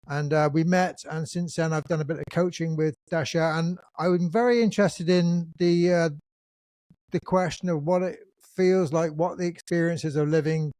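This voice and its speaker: A man hosting a podcast interview, introducing his guest and asking thoughtful questions in a relaxed manner. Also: a little high, dry recording. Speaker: podcast host. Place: England.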